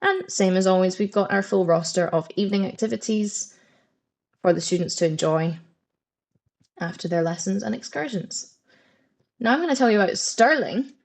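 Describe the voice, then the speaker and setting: A woman's voice: mid-range voice, normal speed, creaky, long pauses, a little mellow. A Scottish woman presenting a webinar for a study holiday company, friendly and informative as she walks through slides with the odd filler. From Scotland. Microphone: computer mic on a video call.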